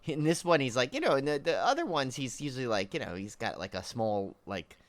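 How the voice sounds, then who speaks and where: A man's voice: medium pitch, big pitch swings, fairly quick, no room sound. A man co-hosting a podcast, chatting casually and rambling with lots of filler words. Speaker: podcast co-host. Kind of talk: casual chat.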